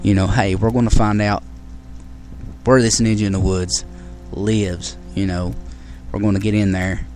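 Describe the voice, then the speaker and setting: A man's voice: lowish voice, flat delivery, moderate pace, a little mellow, soft background music. A man hosting his own show, talking casually to listeners in a rambling way with many fillers and restarts. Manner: friendly sign-off to listeners. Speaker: podcast host. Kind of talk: casual solo show talk.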